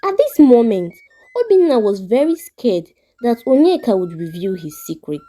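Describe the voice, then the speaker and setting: A woman narrates a folktale in a high voice with very animated intonation. Her tone is soft and mellow as she tells the scripted story, and music plays behind her. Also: moderate pace.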